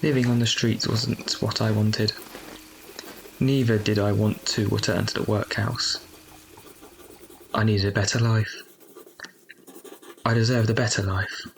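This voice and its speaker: A man playing a reflective narrator who looks back on his youth in an audio drama, speaking in a measured way. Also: on the low side, little pitch movement, creaky, a little hiss or hum, rough recording. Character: older Oliver looking back as narrator, reflective.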